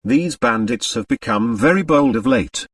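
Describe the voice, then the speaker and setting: Man voicing a lively French police commissary. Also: medium pitch, moderate pace, husky, no echo, clean studio sound, recorded from a distance. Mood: methodical, speculating. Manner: official, methodical, reassuring.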